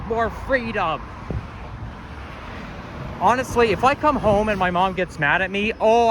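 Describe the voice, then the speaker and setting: A young man venting and swearing in frustration while filming a walking vlog outdoors on a city street. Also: high-pitched. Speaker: vlogger. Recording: street traffic noise.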